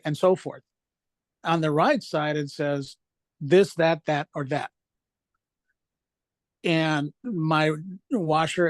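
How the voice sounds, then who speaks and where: Higher-pitched man sharing app experiences. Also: no echo. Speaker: speaker presenting travel apps. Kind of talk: informal discussion at an online event.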